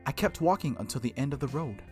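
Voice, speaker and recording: A man's voice: medium pitch, animated, fast talker, a little mellow, soft background music. A man hosting a gaming video, reading aloud and chatting casually in a playful, exclamatory way that often breaks off mid-thought. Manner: playful, self-interrupting, exclamatory. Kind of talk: casual let's play chat and reading aloud.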